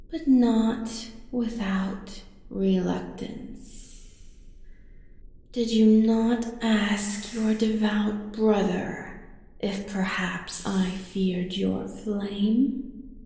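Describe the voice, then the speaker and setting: Woman as slow, echoing ancient dark goddess. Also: mid-range voice. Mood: mocking, taunting. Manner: slow, deliberate, savouring menace, dropping into whispers. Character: ancient female dark entity, worshipped as a god, cruelly manipulative. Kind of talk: scripted audio roleplay, ASMR drama. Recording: long cavernous reverb, faint music behind.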